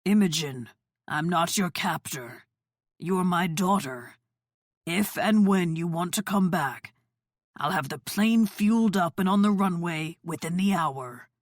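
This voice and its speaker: A woman playing a calm, commanding father who runs a crime family in an audiobook. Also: lowish voice, unhurried, no room sound, clean studio sound. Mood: firm, protective. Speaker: audiobook narrator voicing dialogue. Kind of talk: audiobook character dialogue.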